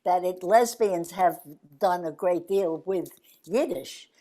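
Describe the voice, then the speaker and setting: A woman's voice: lowish voice, takes it slowly, dark tone. An older woman in a reflective video-call conversation, speaking earnestly with frequent restarts. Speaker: interviewee.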